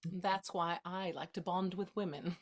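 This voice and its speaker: A woman's voice: lowish voice, steady tone, measured pace. A woman acting in a naturalistic drama as a playful, caring best friend with a light, teasing, encouraging manner. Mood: teasing, interested.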